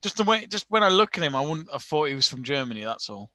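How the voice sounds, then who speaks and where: Man, casual football chat, bright forceful tone, slightly high voice, dry close sound. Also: sing-song, quick pace, no room sound. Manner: chatty, many restarts and laughs. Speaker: co-host of a football watch-along. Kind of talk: casual live-stream chat.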